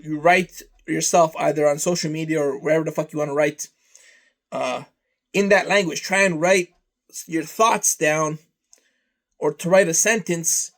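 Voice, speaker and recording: A man whose first language is Serbian, talking slowly and rambling through a casual vlog, trailing off into long lists. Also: a little high, creaky. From Canada. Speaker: vlogger.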